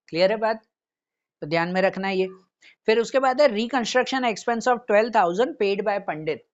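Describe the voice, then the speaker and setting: High-voiced man teaching, muffled audio. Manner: repetitive, checks understanding with tag questions. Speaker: accountancy teacher. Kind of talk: explanatory classroom lesson with step-by-step instruction.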